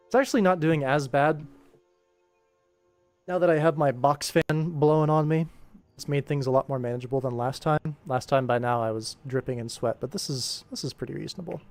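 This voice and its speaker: A man's voice: medium pitch, normal speed, darkish tone. Casual male streamer over music. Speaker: livestream host and animator. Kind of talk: casual livestream chat, answering viewers while working. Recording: music playing behind the voice.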